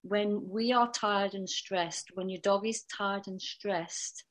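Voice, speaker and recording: A woman dog trainer teaching a workshop in a calm, steady voice that she pushes from the diaphragm. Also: medium pitch, takes it slowly.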